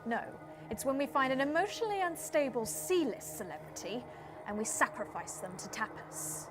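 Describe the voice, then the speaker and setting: A woman's voice: high-pitched, big pitch swings, normal speed, music in the background. A woman acting an imperious, menacing cult leader with a formal, theatrical manner in a comedy. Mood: coolly menacing. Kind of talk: scripted audio comedy acting.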